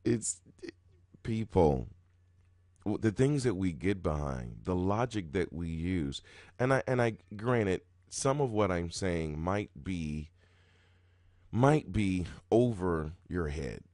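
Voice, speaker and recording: A man hosting a roulette strategy video, talking casually in a low, groggy morning voice, rambling with many restarts and sudden jumps in pitch and loudness. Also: lively intonation, takes it slowly, dry recording, studio quality. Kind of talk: casual talking-head explanation.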